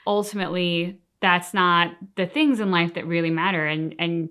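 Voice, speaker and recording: A woman's voice: on the low side, fairly even intonation, moderate pace, a bit bright. A woman co-hosting a reaction show, talking casually and reflectively in an earnest, thinking-aloud manner.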